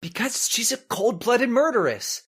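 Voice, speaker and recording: A woman's voice: a little high, sing-song, a little slow, husky, heavily acted. A woman playing a worried, agitated husband in an old-time radio horror play, urgent and earnest with stammers. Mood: insistent.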